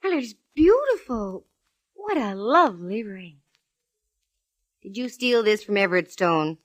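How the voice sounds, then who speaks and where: A woman's voice: medium pitch, very animated, unhurried. An American actress playing a spirited, lovestruck woman private eye in a 1940s radio drama. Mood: delighted, coy. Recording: vintage radio recording, organ music.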